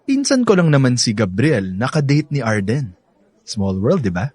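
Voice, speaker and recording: Cocky Filipino playboy, animated pitch. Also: male voice, normal speed, husky, dry recording. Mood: teasing, boastful. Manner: boastful, teasing, code-switches into English. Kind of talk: Filipino radio drama acting.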